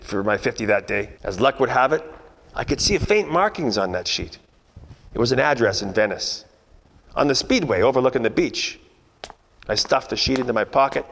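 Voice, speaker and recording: A man playing a wisecracking, world-weary 1940s private detective, narrating a radio noir parody in deadpan hard-boiled style. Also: mid-range voice, brisk, creaky, in a large hall, a little hiss or hum. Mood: brooding, wry.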